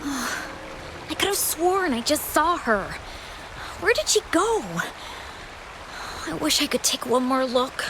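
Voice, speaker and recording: A woman's voice: high-pitched, lively intonation, slow, pauses often, background noise. A woman voicing an earnest, starstruck travel guide writer in a video game, with an eager, gushing manner. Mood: admiring, wistful. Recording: music behind the voice.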